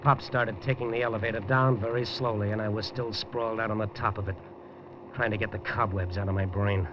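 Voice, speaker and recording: A man's voice: fairly low pitch, fairly even intonation, brisk, noisy background, close to an everyday voice. An actor narrating as a wisecracking male newspaper reporter in a 1950s radio drama, dry and hardboiled. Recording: dull lo-fi 1950s radio broadcast with music.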